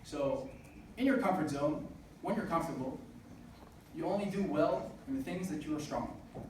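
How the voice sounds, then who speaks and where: A man's voice: a little high, normal speed. A man in his twenties giving an earnest motivational speech at a business event, with frequent "uh" fillers. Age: 20s. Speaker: speaker at a student business event. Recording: echoey hall recording from far off, noisy.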